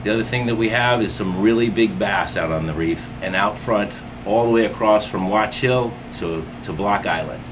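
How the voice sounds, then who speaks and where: A middle-aged man with a lowish voice gives an upbeat, promotional fishing report from inside a tackle shop. Air conditioning hums clearly behind him as a camera records from a few feet away. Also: moderate pace, background noise.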